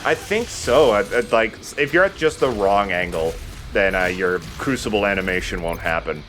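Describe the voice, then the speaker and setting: A man with a fairly low voice gives casual let's play commentary as host. Game music plays behind him. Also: animated, normal speed, a bit bright.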